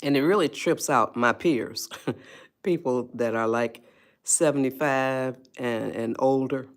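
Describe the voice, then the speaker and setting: A woman in her eighties hosting a casual livestream, chatting slowly and greeting viewers by name. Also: very deep voice. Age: 80s. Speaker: livestream host. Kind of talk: casual livestream advice talk.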